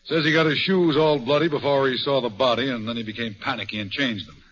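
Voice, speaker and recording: Male actor as cocksure, plain-spoken police inspector, mid-pitched, for a vintage radio crime drama with dulled old sound. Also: fast, a bit bright, lightly acted. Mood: confident, triumphant. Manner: blunt, emphatic, colloquial. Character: brisk, blunt, confident male police inspector. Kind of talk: 1940s radio mystery acting. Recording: muffled vintage 1940s radio recording.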